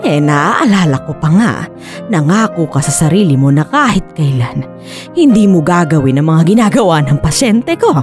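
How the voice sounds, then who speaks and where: A woman's voice: low voice, sing-song, normal speed, over music. A woman with a Filipino accent playing a hard-edged, mocking older mother in a radio drama. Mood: mocking disbelief. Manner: taunting, mocking, sighing exclamations.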